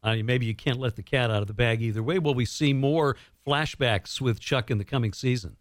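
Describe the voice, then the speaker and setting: A man's voice: medium pitch, brisk, dry recording, studio quality. A man hosting an interview, asking conversational questions and offering his own comments. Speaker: radio interviewer, host.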